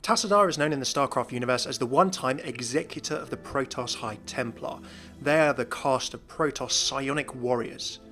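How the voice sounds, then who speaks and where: A man's voice: a little high, a bit bright. A man giving explanatory narration about video game lore. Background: background music.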